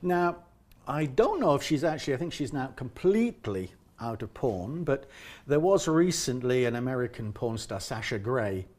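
A man's voice: medium pitch, moderate pace. A man interviewing a guest in a chatty, talkative way, often restarting his sentences. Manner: talkative, animated, frequent restarts. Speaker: interviewer and director.

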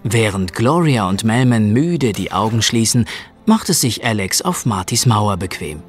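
A man's voice: lowish voice, sing-song, unhurried, soft background music. A man narrating a scripted children's audio play as its storyteller.

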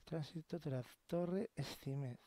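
Young Spanish man, hushed gameplay chatter. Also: fairly high pitch, unhurried. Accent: Spain. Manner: swears casually, drops to whispers when sneaking. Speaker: let's play host. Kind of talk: gameplay commentary. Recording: game ambience noise behind.

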